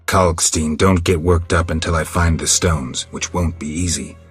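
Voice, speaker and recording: An actor playing a gruff, stoic monster hunter in a video game, terse and deadpan, with a gravelly voice. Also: male voice, low voice, normal speed, soft background music. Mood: deadpan, guarded. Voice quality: gravelly, husky.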